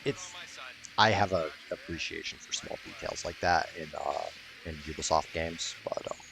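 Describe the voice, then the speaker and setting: A man with a low, fry-heavy voice commenting casually on his game. He rambles, swears and jokes as he plays, with some game noise behind him. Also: normal speed. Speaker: gaming YouTuber.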